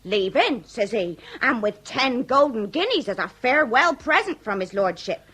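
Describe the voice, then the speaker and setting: A garrulous working-class Victorian widow in a comic radio drama. Her voice is high and swoops dramatically, full of theatrical lament and folksy grand words. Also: sing-song, normal speed, a bit bright, breathy edge, full character voice. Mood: garrulous reminiscing.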